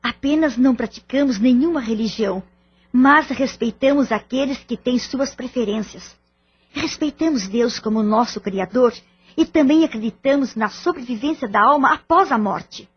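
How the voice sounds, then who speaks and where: Anxious Brazilian mother played by a woman, formal audio-drama delivery, slightly husky, dull lo-fi sound. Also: on the high side, moderate pace. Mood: anxious, pleading. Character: Idalina, anxious polite wife and mother. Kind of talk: audio-novel acting, formal scripted dialogue.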